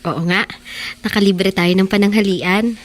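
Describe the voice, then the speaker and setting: A woman playing a poor, hopeful teenage girl in a radio drama, youthful and expressive. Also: medium pitch, unhurried, lightly acted. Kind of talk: Tagalog radio drama acting.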